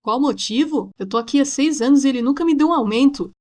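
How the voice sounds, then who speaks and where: A woman voicing a matter-of-fact, grudging ship's cleaner in an animated film, casual and colloquial. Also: high voice, fast, dry recording, clean studio sound.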